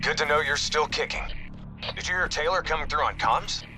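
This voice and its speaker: An actor playing a terse, skeptical veteran special-ops soldier in a video game. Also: male voice, fairly high pitch, a little fast, noisy background, natural voice. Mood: urgent. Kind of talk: video game cutscene acting.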